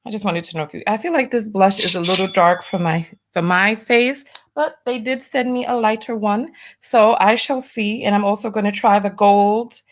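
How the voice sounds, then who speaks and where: A woman's voice: mid-range voice, normal speed, hardly any pauses. A woman from New York with a lightly masked New York accent, hosting a casual livestream, reading viewer donations and making wry asides as she does her makeup. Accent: New York, partly masked. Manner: chatty, wry asides, doing her makeup while talking. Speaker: livestream host.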